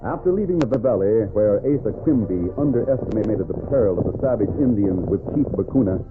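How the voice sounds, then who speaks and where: A man's voice: lowish voice, expressive, brisk, natural voice. A man narrating a western adventure serial in scripted 1940s radio style, on a thin old recording. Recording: tinny, band-limited 1940s radio recording. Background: music.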